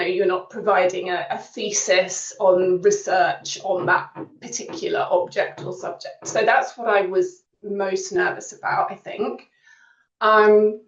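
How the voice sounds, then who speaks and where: A woman's voice: mid-range voice, recorded from a distance. A woman talks informally as a student at an online open day, in a reflective, explanatory way. She sounds set back from the microphone in a roomy space. The call audio is slightly dull. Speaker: creative writing student on a panel. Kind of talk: informal testimonial talk at a virtual open day. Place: indoor room on a video call.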